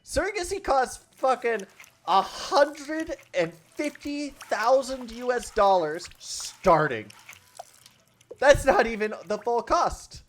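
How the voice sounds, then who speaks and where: A man's voice: very high-pitched, sing-song, takes it slowly, a bit bright, slight background noise. Rambling, laughing male streamer. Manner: rambling, self-deprecating, laughs often. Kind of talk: casual livestream chat while playing a game. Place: home room with a collection of objects.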